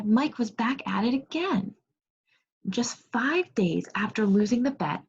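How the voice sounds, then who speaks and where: A woman reads aloud to a second grade class in an expressive, teacherly way. Her voice is a little husky at a medium pitch. The audio is dull and lo-fi, like an online class. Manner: expressive storybook reading, praising and prompting pupils. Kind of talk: read-aloud lesson to young children. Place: remote video class.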